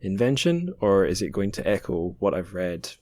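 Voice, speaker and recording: A man's voice: deep voice, very animated, normal speed, mellow. A man hosting a podcast in casual interview chat, informal and a little self-deprecating. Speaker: podcast host.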